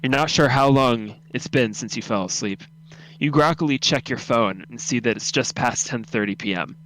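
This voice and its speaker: A man narrates an audio drama in the second person, reading from a script. His pitch sits in an ordinary middle range. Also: moderate pace, fairly bright tone, low-quality recording. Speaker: narrator of a radio drama read-through.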